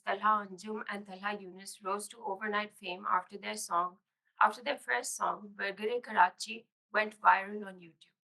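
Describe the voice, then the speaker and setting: Woman student with a sore, strained throat; bright and measured reading of academic prose. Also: mid-range voice, rough recording. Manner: measured, careful reading with small restarts. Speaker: graduate student reader. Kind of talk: reading an academic essay aloud. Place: public library reading event.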